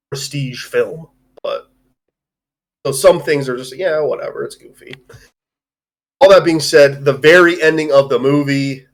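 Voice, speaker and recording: A man chats casually as a livestream co-host. His delivery is loose and rambling, peppered with swearing, as he gives his opinions in an ordinary mid-pitched voice. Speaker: stream co-host reviewing a movie. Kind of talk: casual movie discussion on a livestream.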